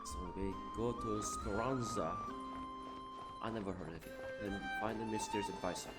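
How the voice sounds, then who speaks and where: A woman's voice: extremely low pitch, moderate pace. A woman casually commenting while she plays a video game, chatty and muttering to herself with frequent laughs. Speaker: gamer streaming a playthrough. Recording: loud game music behind voice. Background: game music and sounds.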